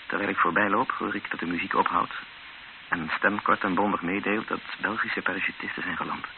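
Captive Dutch witness, low male voice, sober, tense, earnest, radio play, tinny noisy old recording. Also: steady tone, brisk. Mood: urgent. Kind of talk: first-person radio drama narration and dialogue.

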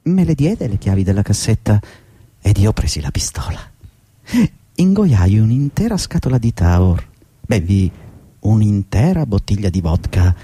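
Radio drama casting: bitter older worker just sacked, husky, volatile delivery swinging widely in pitch, dry sound with faint music. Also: male voice, big pitch swings, unhurried, dark tone, no echo, heavily acted. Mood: bitter, confessional. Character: desperate, bitter older male employee, just fired. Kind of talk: theatrical radio drama monologue and dialogue.

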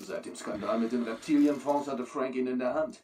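A man's voice: mid-range voice, fairly quick, dark tone, natural voice. An actor playing a knowing male schemer, explaining intrigue in soap-like thriller dialogue. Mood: knowing, grave. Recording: distant, under prominent music. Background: music.

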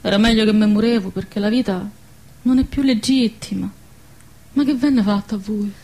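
A woman's voice: medium pitch, normal speed, mellow, close to an everyday voice. An actress playing a defiant, pregnant young village woman in a radio drama, anguished and impassioned, with a southern Lazio dialect. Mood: despairing. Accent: southern Lazio Italian dialect.

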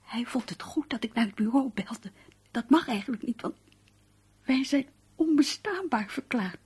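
A shaken, fretful wife in a radio drama. Her voice is high and fearful, pleading with the man she loves. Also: animated, normal speed, a little mellow, breathy edge, full character voice. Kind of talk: Dutch radio play acting.

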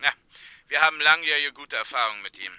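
An actor playing a stern, controlled state prosecutor in a radio crime play, speaking in clipped, matter-of-fact phrases. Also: male voice, on the high side, expressive, quick pace, bright, forceful tone, smooth, no room sound. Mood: cool, official.

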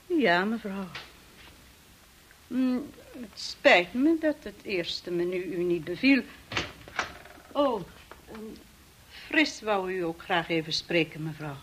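An actress playing a cold, proud, resentful housekeeper in a radio drama, formal and stiff. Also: female voice, medium pitch, expressive, slow pace, long pauses, fairly bright tone, slight background noise, rough recording. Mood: stiffly deferential.

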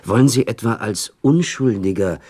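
A man's voice: on the low side, moderate pace, a little mellow, no room sound, studio quality, close to an everyday voice. An actor playing a loyal, deferential retainer in a radio drama, respectfully urging his noble master on. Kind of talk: German radio drama acting.

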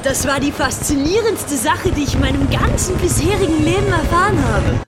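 A woman's voice: sits high, lively intonation, normal speed. An actress playing a gushing young upper-class woman, besotted and reading out her letters in an audio drama. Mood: elated, gushing. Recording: loud music behind the voice.

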